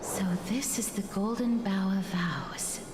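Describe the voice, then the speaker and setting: A woman's voice: medium pitch, slow, roomy sound, long reverb tail, music in the background, natural voice. A woman playing an earnest, guarded young priestess in a video game, speaking formally and solemnly. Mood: cautious, hushed.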